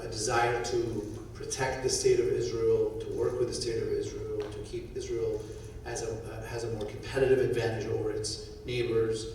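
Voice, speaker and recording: A man's voice: mid-range voice, warm tone, slight background noise. Man from Syria, middle-aged, off-the-cuff panel talk, distant mic, very echoey hall. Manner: thoughtful, with frequent restarts. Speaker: conference panelist. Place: conference hall.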